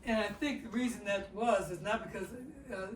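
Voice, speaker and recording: An older man telling family stories in a community circle, animated and laughing, repeating words and quoting other people. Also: sits high, normal speed. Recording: echoey room, distant mic.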